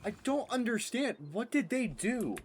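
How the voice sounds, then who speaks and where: Excitable young man reacting to a game. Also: very high-pitched, a little slow, slight background noise. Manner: excitable, exclaiming. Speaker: gaming streamer. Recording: loud game music behind.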